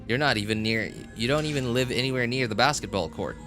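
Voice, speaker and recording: A man's voice: mid-range voice, fast. A man chatting casually on a gaming livestream, rambling and correcting himself as he goes, with a chuckle now and then. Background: background music.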